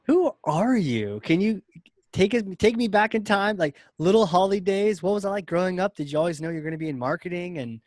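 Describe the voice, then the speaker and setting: Male host, fairly high voice, breezy and jokey, dry sound. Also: expressive, fairly quick, darkish tone, no echo. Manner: friendly, joking banter. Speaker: podcast host. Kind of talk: casual interview chat.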